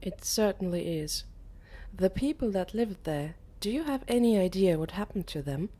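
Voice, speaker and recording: A woman voicing a curious, uneasy teenage boy who narrates an audiobook in a measured, earnest way. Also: medium pitch, expressive, warm tone, lightly acted.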